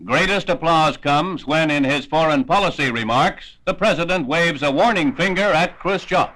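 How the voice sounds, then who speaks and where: A man's voice: a little high, moderate pace, bright, forceful tone, poor audio. A man with an American accent narrating a 1960s newsreel in a formal, declamatory announcer style. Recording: 1960s newsreel soundtrack with music. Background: newsreel music.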